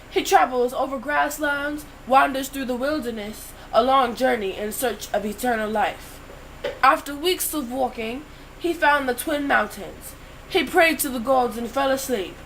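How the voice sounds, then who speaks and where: Teen girl narrator, playful, high-pitched. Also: normal speed, a bit bright, a little hiss or hum, low-quality recording. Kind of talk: school project narration.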